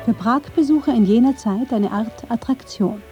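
A woman's voice: fairly high pitch, moderate pace, dark tone, faint music behind. A woman reading scripted narration for a radio documentary.

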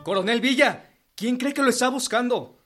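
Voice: mid-range voice, normal speed, a bit bright, soft background music, close to an everyday voice. An actor playing an eager young male messenger in a radio drama. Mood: announcing.

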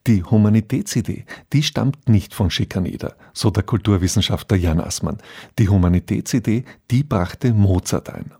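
Husky, dark-voiced man narrating. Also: lowish voice, moderate pace. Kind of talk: scripted radio introduction, explanatory narration.

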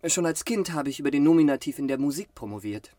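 Man playing a boastful, lightly high-voiced pianist. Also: animated, fast talker, warm tone, no echo, studio quality, close to an everyday voice. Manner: self-important, earnest about nonsense. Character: boastful, eccentric concert pianist. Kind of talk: satirical radio drama acting.

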